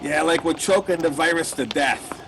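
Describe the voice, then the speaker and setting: A man's voice: a little high, normal speed, background noise, natural voice. A man playing a jittery, callous company boss in a satirical radio play, exclamatory and mock-earnest. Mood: scheming. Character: jittery, callous male meatpacking boss named Rat.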